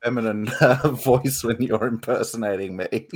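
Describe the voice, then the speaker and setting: A man's voice: medium pitch, normal speed, breathy edge. A man in his twenties chatting casually with friends, hesitant and full of uhs. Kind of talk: casual chat among mates.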